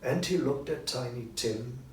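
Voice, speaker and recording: A man narrating a story expressively from a script, with dramatic, clearly separated phrases. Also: mid-range voice, unhurried, darkish tone. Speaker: narrator, audiobook reader. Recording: roomy, distant, faint background noise.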